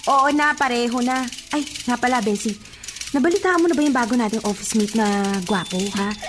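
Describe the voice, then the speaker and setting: A woman's voice: fairly high pitch, fairly quick, slight background noise, close to an everyday voice. A woman playing a sassy, teasing young office best friend in a radio drama, chatty, coy and mock-scolding.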